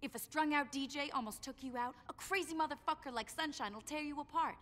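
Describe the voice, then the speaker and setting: An actress playing a tough, foul-mouthed gang boss in a video game cutscene, blunt, sarcastic and commanding. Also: female voice, sits high, quick pace, a bit bright. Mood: stern, dismissive.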